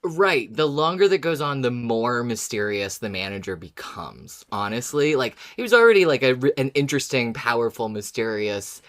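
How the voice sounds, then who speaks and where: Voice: medium pitch, animated, normal speed, hardly any pauses, a bit bright. A podcast co-host chatting casually about a story, musing and thinking aloud.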